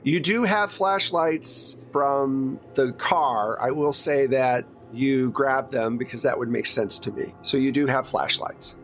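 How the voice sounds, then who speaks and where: A man's voice: medium pitch, takes it slowly, few pauses, fairly bright tone, soft background music. A man acting as game master, narrating a tabletop horror game in a conversational way.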